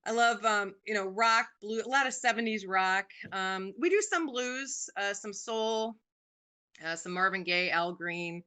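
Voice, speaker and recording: A woman's voice: medium pitch, measured pace, clean tone. A woman in her forties, a singer guest on a podcast, chatting casually with lots of "um"s. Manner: chatty, warm about her music, many "um"s.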